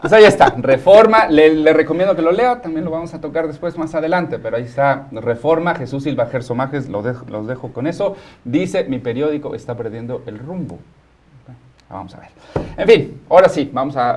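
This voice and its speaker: A man's voice: medium pitch, darkish tone. A man with a Mexico City accent hosting a studio talk show, commenting on news informally with a teasing, joking streak.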